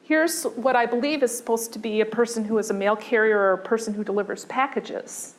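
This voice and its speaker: A woman giving a conversational design lecture, pausing often with fillers and restarting her sentences. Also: a little high.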